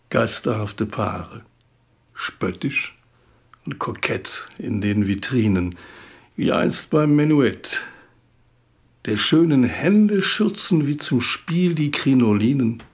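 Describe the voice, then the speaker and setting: A man recites poetry in a husky voice with a gravelly creak. He goes very slowly, setting each phrase apart with weighted pauses and expressive emphasis. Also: on the low side. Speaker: poetry reader.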